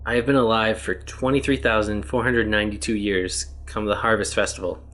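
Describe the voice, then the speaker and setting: A worn-out man lost for countless years in a strange world, his words coming low and steady, as a man reads him in his own voice. The tone sits a little deep and never strains. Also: fairly quick, lightly acted. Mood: solemn. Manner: plain, even reading of written tablets. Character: weary male test subject lost ages in another world.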